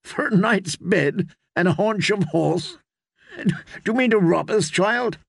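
A man's voice: high voice, sing-song, measured pace, gravelly, dry recording, clean studio sound, voice pushed up, heavily acted. A man voicing a mocking, sardonic knight in a storybook, with a jesting way of talking.

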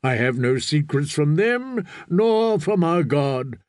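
A man's voice: a little high, very animated, slow pace, darkish tone, no echo, studio quality. A man voicing a stern, fervent sea-god priest in a fantasy audiobook, solemn and commanding. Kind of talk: dramatic fantasy audiobook character voice.

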